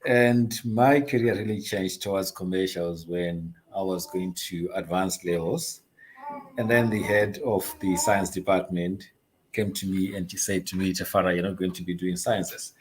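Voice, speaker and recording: A man's voice: deep voice, steady tone, normal speed, poor audio. A middle-aged man from Zimbabwe, a company chief being interviewed, telling his story in a hesitant, chatty way with frequent ums and light laughs. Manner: hesitant, many ums and uhs, laughs easily. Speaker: guest, investment advisory CEO.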